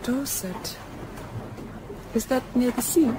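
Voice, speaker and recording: Gentle young daughter played by a woman, highish voice, soft-spoken, anxious, radio drama with clear background noise. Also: slow. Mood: uncertain, wondering. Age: young adult. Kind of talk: radio play acting. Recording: noisy background, vehicle-like rumble.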